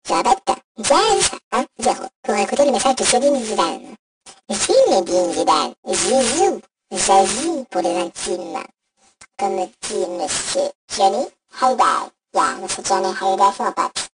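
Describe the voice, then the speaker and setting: A woman's voice: mid-range voice, sing-song, measured pace. A woman playing a cheeky, insulting robot voicemail service in an improvised comic skit, rambling and mock-official through a robot voice effect. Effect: robot voice modulator. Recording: distant, noisy phone app recording.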